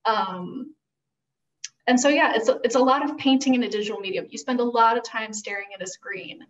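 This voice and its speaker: A woman's voice: fairly high pitch, fairly quick, recorded from a distance, lo-fi sound. A young woman explaining her work conversationally as a guest on a video call. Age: young adult. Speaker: guest, costume designer.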